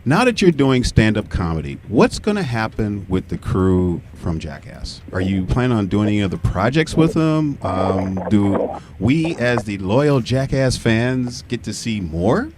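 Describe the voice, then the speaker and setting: A man's voice: lowish voice, very animated, a little slow, background noise. A man co-hosting a casual radio interview from a studio, chatting and putting questions to a guest. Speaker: radio co-host.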